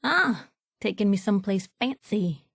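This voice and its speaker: An actress playing a spirited, sharp-tongued young woman reporter in a video game, wry and plain-spoken in her delivery. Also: medium pitch, lively intonation, measured pace, gravelly, slightly rough, dry recording, studio quality, full character voice. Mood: wry, casual. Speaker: video game companion character. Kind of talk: expressive video game dialogue acting.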